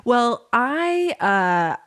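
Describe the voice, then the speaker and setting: A woman's voice: a little high, big pitch swings, very slow, fairly bright tone, dry recording. Woman podcaster in casual comedic banter, dry sound. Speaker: podcast co-host.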